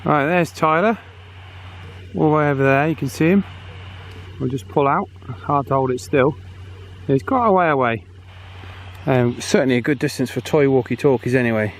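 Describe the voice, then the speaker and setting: A man hosting a casual video outdoors, chatting in a halting way and checking in often as he tests gear. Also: mid-range voice. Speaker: host. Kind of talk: casual outdoor video commentary and walkie-talkie testing. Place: outdoors on a path. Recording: outdoor background noise, faint.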